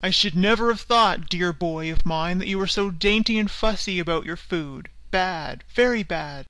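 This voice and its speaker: A woman with a Canadian accent voicing a kindly old father, speaking gently. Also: fairly low pitch, normal speed, no echo, lightly acted. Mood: gently chiding.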